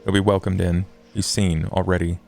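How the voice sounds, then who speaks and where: A man voicing a grim, shrewd vampire strategist in a tabletop roleplay, with a gravelly voice. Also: low-pitched, flat delivery, moderate pace, a little mellow, soft background music. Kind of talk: actual play roleplay dialogue.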